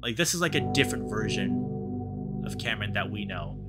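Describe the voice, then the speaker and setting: A man hosting a visual novel playthrough, talking in an expressive, emphatic and casual way. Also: on the low side, normal speed, loud music behind the voice. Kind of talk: dramatic read-aloud with casual commentary.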